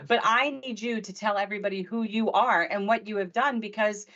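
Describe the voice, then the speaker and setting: Excitable woman hosting a video call. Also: mid-range voice, a little fast, lo-fi sound. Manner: excitable, chatty, talks over guests. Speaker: host. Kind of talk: live video Q&A.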